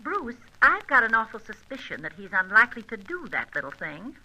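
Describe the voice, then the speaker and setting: A brash, bright flirt. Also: female voice, a little high, very animated, a little fast, dull audio, exaggerated voice. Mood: sly, playful. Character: brash, flirtatious, mischievous American female adventuress. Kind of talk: old-time radio serial acting.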